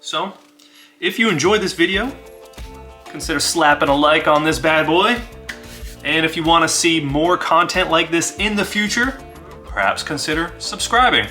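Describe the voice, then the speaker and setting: A man's voice: fairly high pitch, normal speed, pushed and bright, soft background music. A man who hosts a gaming channel, talking to his audience and telling stories of solo play. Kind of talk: dramatized horror story narration and casual review.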